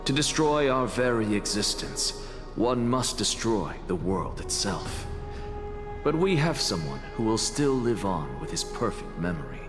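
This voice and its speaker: Arrogant brilliant male scholar, game drama, low voice, slow theatrical lecturing, long reverb, music behind. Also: sing-song. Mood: solemn, impassioned. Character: proud, brilliant scholar man, theatrical and self-assured. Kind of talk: dramatic video game voice acting.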